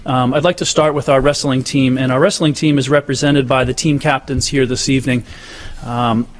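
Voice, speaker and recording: A man addressing a school board meeting with a sports update, speaking in a proud, steady way. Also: medium pitch, normal speed. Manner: proud, steady, says he has a loud voice. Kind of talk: public address. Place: large meeting room.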